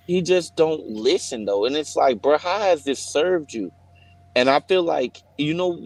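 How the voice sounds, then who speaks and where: A man in casual group chat, telling stories in a profane, animated way. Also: mid-range voice, no echo, rough recording. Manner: profane, animated storytelling with frequent laughs. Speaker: participant in an online hangout.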